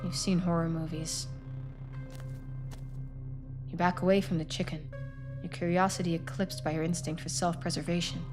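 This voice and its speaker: A woman's voice: lowish voice, flat delivery, moderate pace, long pauses, over music. A woman game streamer reading out a story game and chatting with her viewers during a live playthrough. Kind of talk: live playthrough narration and chat.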